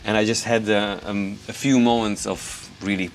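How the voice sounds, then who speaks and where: A Hungarian man with a Hungarian accent, a guitarist hosting a casual question-and-answer video, relaxed and rambling with many fillers. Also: fairly low pitch, normal speed, faint music behind. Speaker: guitarist and YouTube host. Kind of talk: casual Q&A to camera.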